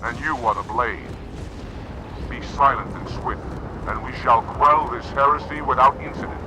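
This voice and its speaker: A man voicing a stern, composed alien military commander in a video game, speaking in formal, measured phrases. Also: medium pitch, background noise, natural voice. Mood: solemn. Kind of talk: scripted video game acting.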